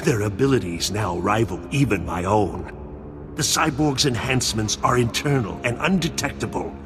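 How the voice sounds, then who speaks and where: An actor playing a grandiose, menacing cyborg mad scientist in a video game, speaking with theatrical contempt. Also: male voice, on the low side, lively intonation, moderate pace. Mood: threatening, boastful. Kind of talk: video game cutscene acting. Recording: long hall reverb over music.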